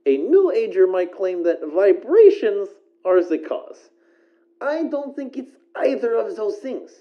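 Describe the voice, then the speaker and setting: A woman's voice: medium pitch, big pitch swings, measured pace, lo-fi sound. A woman playing the host of a paranormal radio call-in show, musing theatrically in a scripted presenting style. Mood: intrigued, musing. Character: female host of an uncanny late-night radio show.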